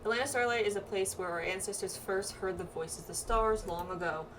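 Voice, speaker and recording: A woman voicing a serious, dutiful young pilgrim from a fantasy game, in an earnest, measured manner. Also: medium pitch, recorded from a distance, background noise, close to an everyday voice. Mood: explaining.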